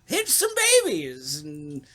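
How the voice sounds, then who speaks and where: A man's voice: fairly high pitch, wildly swooping pitch, slow pace, full character voice. A man voicing a gleefully nasty funny old man in an exaggerated, whooping caricature.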